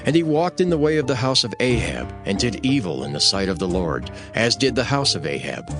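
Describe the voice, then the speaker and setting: A man serves as narrator of a dramatized scripture recording. His voice sits fairly low and moves expressively across a wide range. Music plays clearly underneath.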